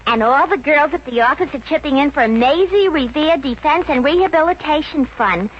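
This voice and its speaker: A woman's voice: high-pitched, animated, brisk. An actress playing a naive, eager, oblivious office girl in a 1950s radio comedy, speaking with earnest formality. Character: naive, eager, dim-witted office girl, loyal sidekick. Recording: noisy, dull old 1950s radio recording.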